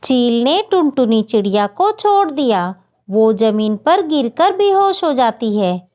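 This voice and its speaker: Woman telling a kids story, really unhurried, slightly muffled recording. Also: high voice, darkish tone. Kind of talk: scripted children's story narration. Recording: dull, muffled lo-fi audio.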